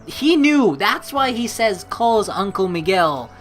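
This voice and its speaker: A woman hosting a let's play, reading game narration and dialogue aloud with playful, expressive commentary. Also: medium pitch, slow pace. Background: game music.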